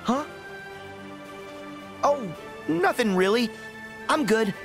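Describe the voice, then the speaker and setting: A woman voicing an eager, cheerful teenage boy hero who speaks in an excitable, upbeat way. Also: a little high, slow pace, music in the background.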